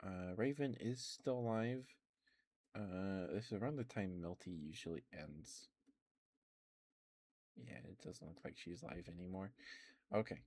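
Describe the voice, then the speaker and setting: A man with a fairly low voice talks softly and gently into a close ASMR microphone. He reassures and chats with his stream audience in a calm, unhurried way. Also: gravelly. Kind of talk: whispered ASMR affirmations and casual stream chat. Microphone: close ASMR microphone with headphones.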